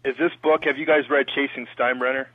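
A man with a Canadian accent calls in to a sports radio show, pausing often with "uh" and "um". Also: mid-range voice, steady tone, no echo. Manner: hesitant, fills pauses with "uh" and "um". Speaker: radio caller. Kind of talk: phone-in call to a sports talk show. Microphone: telephone. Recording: tinny phone line.

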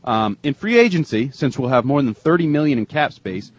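Male sports radio host, husky, mid pitch, dull close recording. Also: big pitch swings, brisk. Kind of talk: sports talk, reading out emails.

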